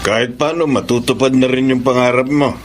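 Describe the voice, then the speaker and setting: A man's voice: mid-range voice, steady tone, fairly quick, soft background music, close to an everyday voice. A man acting a scheming, insinuating older father in a Filipino radio drama, with a Filipino accent.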